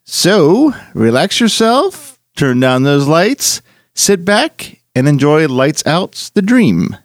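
A man hosting with scripted narration, measured and given to dramatic emphasis. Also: fairly high pitch, big pitch swings, no echo, studio quality. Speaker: host. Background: music.

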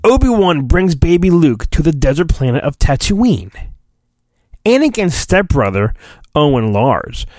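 A man hosting a podcast, giving a theatrical mock-dramatic reading of a storybook with drawn-out phrasing and goofy, rambling asides. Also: fairly high pitch, big pitch swings, darkish tone, no room sound, studio quality.

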